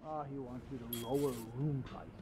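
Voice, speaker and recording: A man's voice: medium pitch, steady tone, normal speed, mellow, slight background noise, close to an everyday voice. A man voicing a grumbling, harried man at a hotel in a narrative video game. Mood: irritated.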